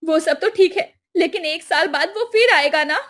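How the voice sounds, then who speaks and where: Woman acting a very shrill-high scolding village wife. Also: moderate pace, noisy background. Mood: worried. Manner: questioning, exclamatory. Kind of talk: Hindi cartoon moral story voice acting.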